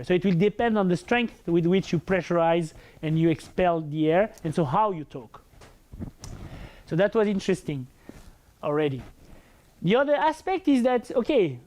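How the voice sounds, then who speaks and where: A man's voice: high voice, a little mellow. A man with a French accent and a big, full voice gives an academic lecture. He speaks hesitantly, with many fillers and restarts. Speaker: researcher giving a lecture.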